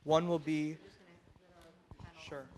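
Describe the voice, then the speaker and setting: Male moderator, somewhat high, flat delivery, brisk and informal. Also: very noisy. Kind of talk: moderating a panel Q&A.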